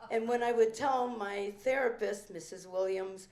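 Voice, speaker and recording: An older woman from a working-class Italian immigrant family, a veteran performer telling a rambling, animated story with restarts and acted-out quotes at a theater benefit. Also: mid-range voice, moderate pace. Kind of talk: anecdotal storytelling speech. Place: event room at a private club. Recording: roomy echoing event hall.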